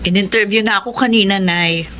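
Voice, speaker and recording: A woman plays a sharp-tongued young Filipino mother in a radio drama. She speaks at a middle pitch with bossy self-assurance, with some noise behind her. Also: fairly quick, lightly acted. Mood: scornful, confident. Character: blunt, critical young mother and bossy older sister.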